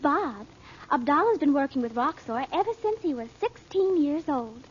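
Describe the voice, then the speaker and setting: High-voiced, dreamy American teenage girl. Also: animated, normal speed. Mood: chatty, dreamy. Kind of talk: old radio drama acting. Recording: dull, noisy old radio recording.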